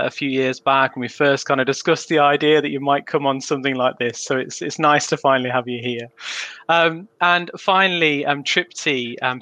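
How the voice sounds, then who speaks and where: A man's voice: medium pitch, sharp tone, dry recording. A man hosting a live panel show, talking in a friendly, chatty way with frequent ums. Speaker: host.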